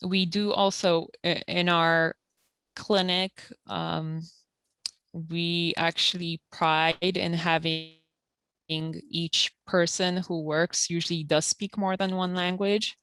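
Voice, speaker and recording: A woman who works at a community health center, calmly explaining eligibility rules and documents step by step with frequent fillers. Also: fairly low pitch, slow, smooth, no room sound. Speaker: health center benefits counselor. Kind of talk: informational interview.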